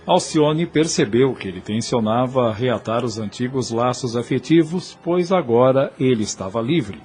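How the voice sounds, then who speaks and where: A man's voice: mid-range voice, animated, normal speed, a little mellow. A Brazilian man narrating an audio drama in formal, literary storytelling language.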